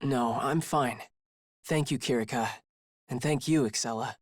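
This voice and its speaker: A man's voice: medium pitch, a little slow, no room sound, lightly acted. An actor voicing a kind, earnest teenage boy hero in a video game, sincere and pleading. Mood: gentle, relieved. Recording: loud music behind the voice.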